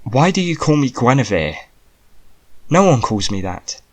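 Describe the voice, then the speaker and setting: A man's voice: mid-range voice, big pitch swings, a little slow. A man voicing a stern, indignant female judge, formal and brusque, in an audiobook drama. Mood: dismissive.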